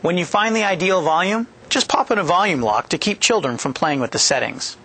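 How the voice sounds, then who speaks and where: A man's voice: sits high, lively intonation, fairly quick, pushed and bright. A man in his thirties, a product manager, giving a scripted, upbeat training presentation on a studio set, speaking into a headset mic. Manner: upbeat, jokey, clearly explaining steps. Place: studio set with a yellow backdrop. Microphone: headset boom mic.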